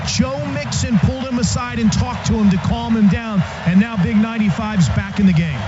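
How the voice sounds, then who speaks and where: A man's voice: sits high, brisk, crowd noise. An American man giving a live sideline report for a football radio broadcast. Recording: muffled, very noisy stadium broadcast.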